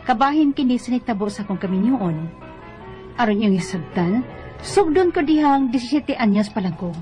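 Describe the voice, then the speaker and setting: An actress with a Filipino Cebuano accent playing a hesitant young wife who tells of marrying young, in a radio drama. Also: female voice, on the high side, expressive, moderate pace, mellow, soft background music, lightly acted. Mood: earnest, recounting. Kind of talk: radio drama acting and first-person narration.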